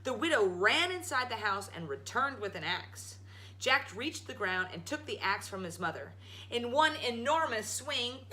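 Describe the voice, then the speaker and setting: Animated, high-voiced woman reading a story. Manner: dramatic, emphatic narration. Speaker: storyteller, show host. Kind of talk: expressive children's story read-aloud.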